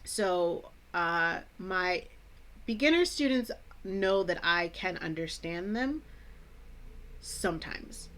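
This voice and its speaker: An American woman from the Chicago suburbs who hosts an English-teaching vlog, speaking in a clear, deliberate teacher's manner with playful asides. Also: on the low side, expressive, a bit bright. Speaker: English teacher, channel host. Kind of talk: scripted educational vlog.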